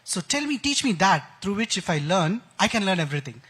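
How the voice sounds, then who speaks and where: A man's voice: high-pitched, big pitch swings, fairly quick, fairly bright tone, slightly rough. A man with an Indian accent, an enthusiastic expert guest explaining technical ideas in a conference interview. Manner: enthusiastic, uses analogies and technical jargon. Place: conference stage.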